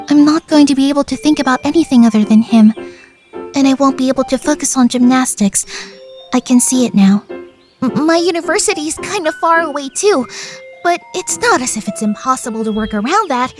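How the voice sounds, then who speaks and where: A woman voicing an earnest, kindhearted high school senior girl in an anime fan radio play, talking in a casual, girlish way.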